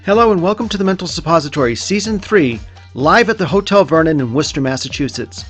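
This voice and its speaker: Higher-pitched man hosting a podcast. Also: animated, quick pace, fairly bright tone, faint music behind. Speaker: podcast host. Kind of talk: scripted introduction and live hosting. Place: live venue at a hotel.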